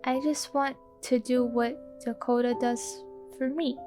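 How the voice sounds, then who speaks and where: A woman's voice: sits high, steady tone, a little slow, over music. Woman playing an earnest, caring young girl. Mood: sincere. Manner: earnest, worried questions.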